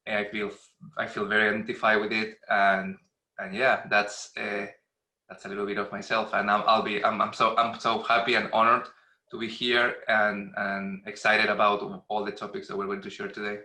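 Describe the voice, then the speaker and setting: A man in his thirties with a Peruvian Spanish accent gives a professional self-introduction. His voice is very bright and forward with a flat, even pitch, and he speaks haltingly, repeating words. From Peru. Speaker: panelist introducing himself.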